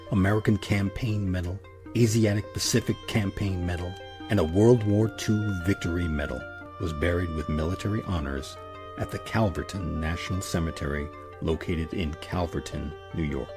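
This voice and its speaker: A man narrating a scripted history documentary as its host in a measured, solemn, deliberate manner. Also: sits low. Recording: narration over a music bed. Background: music.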